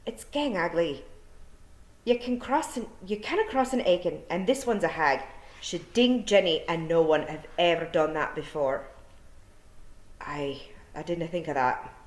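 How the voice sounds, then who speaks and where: A woman voicing tiny, nervous, rough little fairy men with a broad Scottish brogue. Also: lively intonation, a little slow, reverberant. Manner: high squeaky broad brogue.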